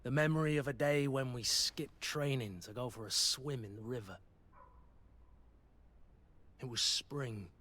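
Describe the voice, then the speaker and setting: An actor playing a gruff, loyal mercenary master of arms in a video game, brooding, plain-spoken and sardonic. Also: male voice, mid-range voice, measured pace, pauses often, sharp tone. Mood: wistful. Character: gruff, battle-worn male mercenary master of arms, guilt-ridden, loyal. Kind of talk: video game dialogue acting.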